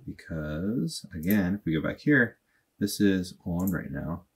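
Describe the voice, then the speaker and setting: A man in his thirties at his desk, walking through a software tutorial and thinking aloud in a plain, unhurried way. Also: sits low, big pitch swings, husky. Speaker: automation tutorial host. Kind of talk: casual screen-recorded tutorial. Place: small indoor room at a desk.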